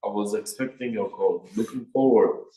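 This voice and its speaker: A man's voice: lowish voice, moderate pace, dark tone, echoey room. A man with a Persian accent giving a workshop lecture on marriage as a rabbi, in plain short sentences, raising his voice to act out quoted speakers. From Iran.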